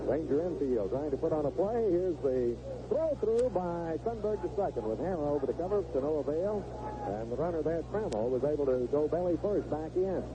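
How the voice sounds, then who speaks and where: A man's voice: fairly high pitch, lively intonation, brisk. A man doing live 1970s radio play-by-play of a baseball game, describing the action in short clipped phrases. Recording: noisy, narrow-band vintage AM radio broadcast.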